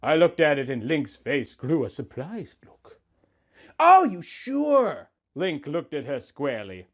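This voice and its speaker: A man's voice: mid-range voice, lively intonation, normal speed. A man in his thirties giving a mock-earnest, melodramatic reading of a story, leaning on words for emphasis. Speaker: host of a comedy reading series. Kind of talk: theatrical dramatic reading of fan fiction.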